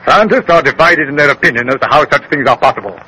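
An actor playing a grave, assured colonel in a 1930s radio drama, speaking in a formal, declamatory, lecturing way. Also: male voice, a little high, expressive, fast, pushed and bright. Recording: noisy 1930s radio recording.

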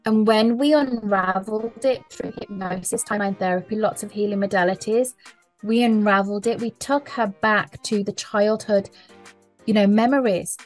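A woman's voice: mid-range voice, normal speed, soft background music, poor audio. A woman, speaking as a mindset coach in a conversational podcast, earnest and emphatic, often repeating key phrases.

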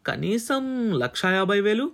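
Guy reading as a shrewd client who wont budge, audiobook, curt, slightly high voice. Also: big pitch swings, a little slow, creaky, a bit bright, full character voice. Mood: cool, firm. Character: shrewd, unbudging male client driving a hard bargain.

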